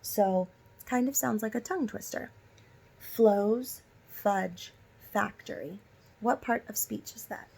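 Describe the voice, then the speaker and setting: A woman's voice: medium pitch, slow, creaky, darkish tone, husky, rough recording. A woman teacher reading a children's story aloud and prompting listeners with instructive questions.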